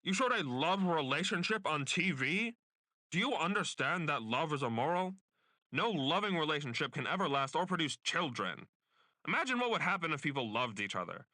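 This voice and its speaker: A man acts out a domineering bureaucrat of a dystopian regime in a radio drama. His delivery is bright and forceful, swinging widely in pitch as he lectures with pompous scorn. The sound is dry and close. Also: big pitch swings, normal speed, dry recording, full character voice. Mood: outraged. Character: pompous, bigoted state censor bureaucrat, domineering older man. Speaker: voice actor. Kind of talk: dystopian radio play acting.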